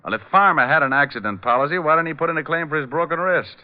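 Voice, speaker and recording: An actor playing a shrewd, cool private detective in vintage radio style, asking brisk, probing questions. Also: male voice, fairly high pitch, natural voice. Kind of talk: 1940s-50s radio detective acting. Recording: muffled, narrow-band old radio recording.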